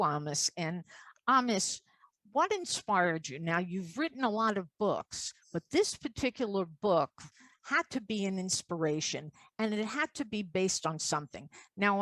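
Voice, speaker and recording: Show host, woman, mid-pitched, dry close recording; questioning and insistent. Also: fairly bright tone, no room sound. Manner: questioning, repeats words for stress. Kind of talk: interview hosting.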